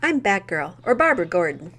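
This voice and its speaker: A woman's voice: lowish voice, big pitch swings, a little fast, a bit bright. A woman playing a curious, clever young masked heroine in an amateur audio drama.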